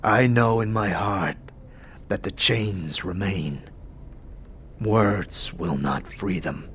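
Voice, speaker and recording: A man voicing a weary, solemn warrior enslaved by his oath, speaking in grave, formal, halting phrases for an audio drama. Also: low-pitched, fairly bright tone, slight background noise. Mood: pained, grave.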